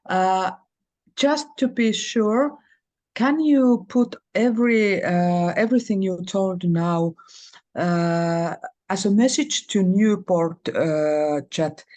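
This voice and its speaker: A woman from Finland with a Finnish accent, a caller asking a careful, hesitant question with many pauses. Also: mid-range voice, darkish tone.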